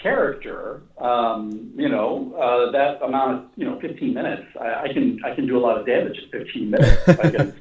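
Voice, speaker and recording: A man being interviewed as a writer, chatting casually and haltingly, rambling and repeating phrases as he thinks aloud. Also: medium pitch, expressive. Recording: echoey, distant, phone-like call audio.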